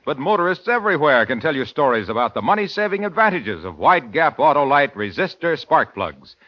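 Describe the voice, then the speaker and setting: A man's voice: a little high, sing-song, fairly quick. A man reading a vintage radio commercial with hearty, emphatic sales patter, on an old muffled recording. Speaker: commercial announcer. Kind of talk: 1940s scripted radio advertisement.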